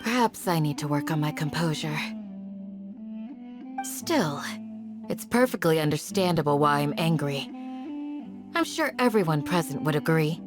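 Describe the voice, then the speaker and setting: A woman playing a stern, composed village guardian in a game, with formal, measured, commanding speech. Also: low voice, lively intonation. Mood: controlled. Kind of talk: game cutscene acting. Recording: music playing behind the voice.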